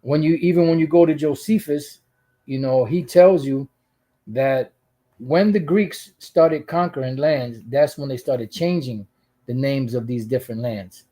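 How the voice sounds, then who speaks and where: A man's voice: mid-range voice, unhurried, mellow. A man hosting his channel, giving casual religious commentary and reading out scripture in a rambling way, full of asides and restarts. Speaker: channel host.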